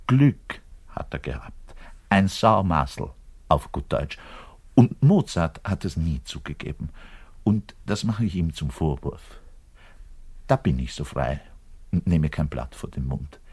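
An actor playing a frustrated, lovesick orchestral double bass player in a radio monologue, bitter and self-pitying, ranting and muttering by turns. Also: male voice, husky, heavily acted. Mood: opinionated, grudging. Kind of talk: theatrical radio play monologue addressed to the listener.

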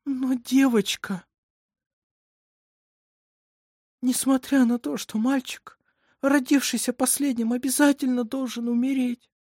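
Lady reading as an exhausted scared peasant mom, high husky voice, tearful drawn-out pleading. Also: dark tone, dry recording, clean studio sound. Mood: fearful. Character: frightened, exhausted peasant mother in childbirth.

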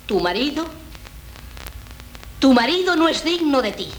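A woman's voice: high voice, big pitch swings, a little slow. An actress playing an indignant, domineering mother in a 1970s radio play, declaiming with melodramatic emphasis. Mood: grave. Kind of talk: 1970s Spanish radio theatre acting. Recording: noisy 1970s radio recording.

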